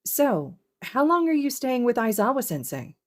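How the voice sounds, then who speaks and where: A woman's voice: a little high, sing-song, normal speed, voice pushed up. A woman voicing a friendly, sociable teenage schoolgirl with a chatty, inviting manner in a story reading. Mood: friendly, upbeat. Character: friendly, upbeat teenage girl student, eagerly sociable.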